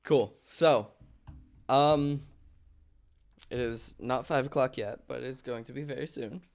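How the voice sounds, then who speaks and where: A man's voice: mid-range voice, slow pace, creaky. A man chatting casually as the host of a group live stream.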